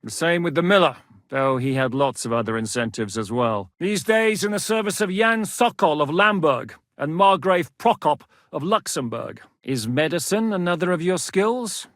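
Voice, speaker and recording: A gruff, wary warlord commander. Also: male voice, fairly high pitch, expressive, normal speed, a bit bright, dry recording. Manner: blunt, weary, curses freely. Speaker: game character voice lines. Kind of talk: video game voice acting. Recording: dry studio voice recording.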